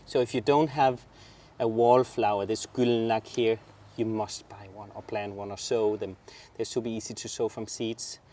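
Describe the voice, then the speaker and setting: A man's voice: on the low side, fairly even intonation, normal speed, few pauses, warm tone, a little hiss or hum. A man from southern Denmark with a Danish accent, hosting a casual garden tour outdoors and exclaiming eagerly over the plants. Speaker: garden show host.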